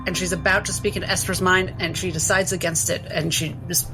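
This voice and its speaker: Female player, deep voice, measured in-character narration over music. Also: fairly even intonation, rough recording. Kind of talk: describing her character's actions in a tabletop game. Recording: music bed behind the voice.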